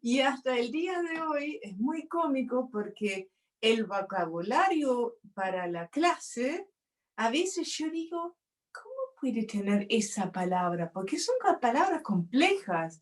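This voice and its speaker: A woman with an Argentine accent, a guest telling stories in a casual chat and laughing often. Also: a little high. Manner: lively storyteller, laughs often. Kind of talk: casual conversation.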